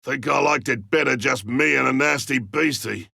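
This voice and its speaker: An actor voicing a gruff, wry, battle-hardened lone monster hunter in a video game, with a blunt way of calling out. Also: male voice, mid-range voice, moderate pace, bright, forceful tone, dry recording, studio quality, exaggerated voice. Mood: grim, weary. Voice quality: gravelly, raspy. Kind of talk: video game combat callouts.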